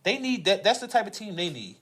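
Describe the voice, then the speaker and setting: A man's voice: high voice, sing-song, fairly quick, a bit bright. A man in a casual sports debate, talking combatively with slang and swearing. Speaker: sports podcast panelist.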